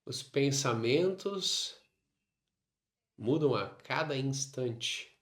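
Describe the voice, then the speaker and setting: A man with a Brazilian Portuguese accent leading a guided meditation, instructing in short phrases separated by long pauses. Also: mid-range voice, animated, fairly bright tone. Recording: roomy, echoing sound.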